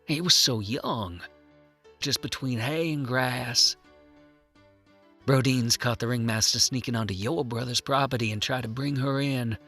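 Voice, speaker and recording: A man acts as a stern frontier sheriff in a western audio play. His voice is very hard-edged and gravelly, folksy and weighty, with faint music behind it. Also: medium pitch, measured pace, bright, forceful tone, full character voice. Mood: grim resolve. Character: grim, dogged Old West sheriff hunting outlaws. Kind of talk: Old West audio roleplay acting.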